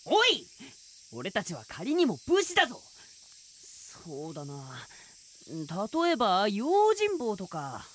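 A woman's voice: medium pitch, wildly swooping pitch, slow pace, slight background noise. A woman playing an exasperated, responsible young male samurai, the blunt, scolding straight man of a comedic audio drama. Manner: blunt, scolding boyish speech.

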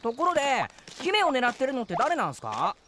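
A man playing a casual, excitable young swordsman-for-hire in a comedic period radio drama. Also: very high-pitched, a little fast, background noise, exaggerated voice. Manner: casual slangy speech, excitable.

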